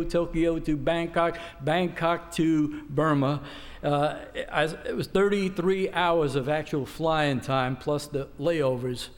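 Older male preacher, slightly high voice, rambling storyteller with lots of fillers and restarts. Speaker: missionary preacher. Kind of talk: informal sermon report with a joke.

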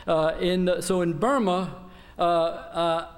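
A man's voice: high-pitched, steady tone, slow. An older man, a missionary preacher, telling his congregation about his trip in a rambling, informal way full of restarts. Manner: rambling, many restarts and fillers. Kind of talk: informal sermon report with a joke.

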